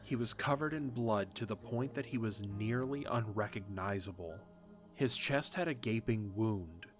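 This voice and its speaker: A man narrating a fan audio drama in a steady, measured storytelling manner. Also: on the low side. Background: music.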